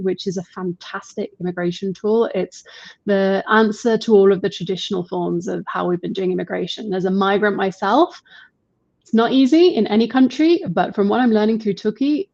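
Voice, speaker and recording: A woman's voice: mid-range voice, a little mellow, dry recording, dull audio. Upbeat woman hosting a live session. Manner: upbeat, chatty, laughs easily. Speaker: host and marketer. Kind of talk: live online interview.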